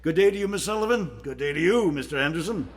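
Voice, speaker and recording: Pompous, husky city official. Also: male voice, fairly high pitch, animated, quick pace. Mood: brisk, dismissive. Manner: blustery, formal, officious. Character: pompous, dismissive 1930s male city official. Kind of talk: period radio drama acting.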